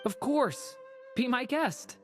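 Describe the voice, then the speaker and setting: A man voicing a gentle young dragon in human form for a video game, polite and earnest. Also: very high-pitched, expressive, slow, music in the background, exaggerated voice.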